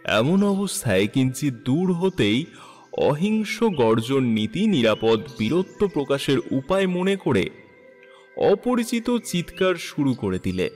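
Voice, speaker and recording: A man narrating a literary story in an expressive, measured, dramatic style. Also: fairly high pitch, long reverb tail, soft background music.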